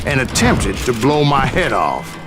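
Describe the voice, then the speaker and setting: An actor playing a grizzled older gunslinger with an American Western drawl, narrating his boastful tales in a video game in a folksy, gravelly voice. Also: mid-range voice, wildly swooping pitch, over music. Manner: folksy, boastful, unhurried storyteller. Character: grizzled old gunslinger spinning tall tales.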